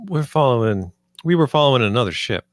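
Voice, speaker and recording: A man acts a resourceful space assessor, gruff and pedantic. His voice sits at a middle pitch, with a dry, close sound. The delivery is that of a script table read. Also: animated, a little fast, no room sound, lightly acted. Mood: defensive.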